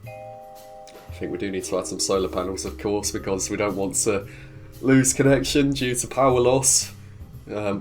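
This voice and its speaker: A man with a cold, hosting a video game series in a cheerful, chatty, rambling way while he builds and narrates. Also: on the low side. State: congested from a cold. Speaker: gaming video host. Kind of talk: casual gameplay commentary.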